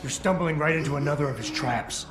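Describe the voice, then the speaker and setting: An actor as a terse security agent, echoey. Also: male voice, a little high, fast talker, natural voice. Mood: stern, scornful. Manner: terse, dry, controlled. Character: cool, hard-edged male security agent negotiating. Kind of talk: video game voice acting. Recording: echoey game audio under loud music.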